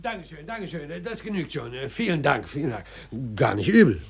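An actor playing a smug, patronising older gentleman of the arts in a radio play, pompous and theatrical. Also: on the high side, big pitch swings, brisk, creaky. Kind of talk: post-war German radio play acting.

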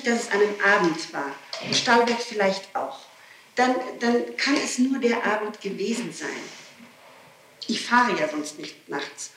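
A woman speaks insistently and emphatically, as if making a statement under questioning. Her middle-pitched voice comes from far off in a very echoey room, on an old tape with clear noise. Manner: insistent, emphatic, repeats herself. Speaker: person being questioned by police. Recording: noisy, echoey archival tape, distant mic.

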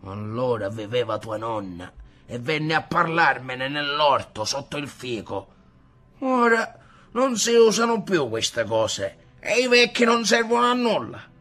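A weary old grandfather scolds with a raised, husky voice in a storybook reading, as a man puts him on. He speaks slowly and with weight, bright and firm in tone. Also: a little high, big pitch swings, full character voice. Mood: scolding. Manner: stern, scolding. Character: old grandfather, weary and stern head of family.

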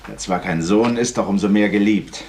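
A man plays a sly confidant in a fifties radio play. His very low voice needles with polished phrasing, over faint recording noise. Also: normal speed, darkish tone, lightly acted. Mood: needling. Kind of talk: 1950s radio drama acting.

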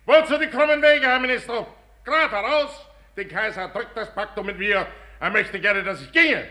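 An actor playing a proud, bitter, imperious general in a declamatory 1950s radio drama. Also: male voice, sits high, moderate pace, bright, forceful tone. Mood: bitter scorn. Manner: declamatory, theatrical stage delivery. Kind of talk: 1950s German radio play acting. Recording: crowd noise behind, 1950s radio recording.

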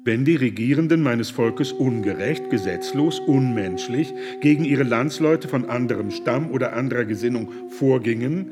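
A man's voice: mid-range voice, natural voice. An actor playing an earnest German humanitarian in a radio play, pressing his accusations in a pointed, indignant way.

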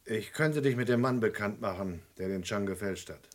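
An actor playing a secretive underworld informant in a German radio crime play, clipped, guarded and matter-of-fact. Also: male voice, lowish voice, moderate pace, lightly acted. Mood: terse, confiding.

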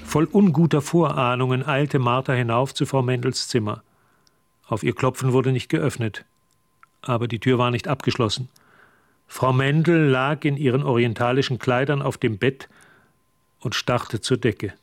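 An actor narrating a scripted radio drama as a calm storyteller, measured and literary. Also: male voice, medium pitch, no room sound, close to an everyday voice.

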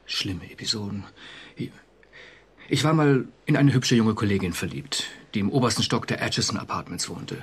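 An actor in a German radio drama playing an anxious, conscientious civil servant, confessional and agitated. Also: male voice, fairly low pitch, expressive, moderate pace, a bit bright, husky, exaggerated voice. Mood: haunted, confessing.

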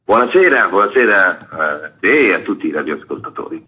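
A man's voice: sits low, big pitch swings, brisk, breathy edge. A man being interviewed as an artistic director, giving wordy, formal explanations. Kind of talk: radio interview, explanatory talk. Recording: dull lo-fi sound with faint music.

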